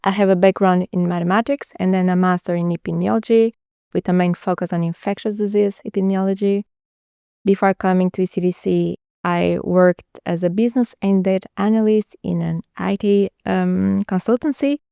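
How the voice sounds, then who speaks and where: A woman's voice: lowish voice, fairly even intonation, normal speed, creaky, mellow, clear, resonant tone, dry recording, studio quality. A woman in her twenties from Portugal, introducing herself plainly with a few hesitant ums. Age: 20s. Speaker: guest.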